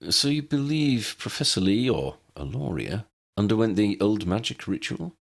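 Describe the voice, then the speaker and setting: Man acting a bookish uncle, gravelly, measured, ornate phrasing, dry wit, storybook character. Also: fairly low pitch, very animated, exaggerated voice. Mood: pondering. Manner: measured, wordy, wry.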